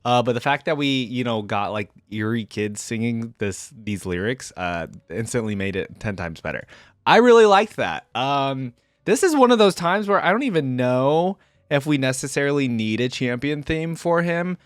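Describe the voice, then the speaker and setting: A man's voice: medium pitch, lively intonation, normal speed, no room sound, clean studio sound. A man hosting a reaction video, giving casual, informal and exclamatory commentary.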